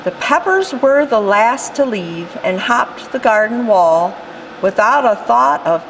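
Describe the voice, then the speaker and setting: A woman reads a rhyming picture book aloud, taking her time over every line. Her fairly high voice rises and falls in a lively, sing-song way. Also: a little hiss or hum, rough recording. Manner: slow, expressive storytelling. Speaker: storytime reader.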